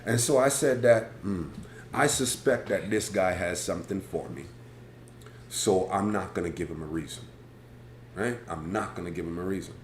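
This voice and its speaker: A man with a big, booming voice, hosting a casual live stream and telling a rambling, animated story from his school days. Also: normal speed. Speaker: host. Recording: echoey room with faint background noise.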